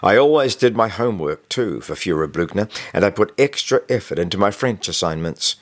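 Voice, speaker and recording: A man with a low-pitched voice reads a first-person novel aloud. His narration is scripted but conversational, like someone recounting his own school days. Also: animated, a little fast. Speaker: author reading own novel.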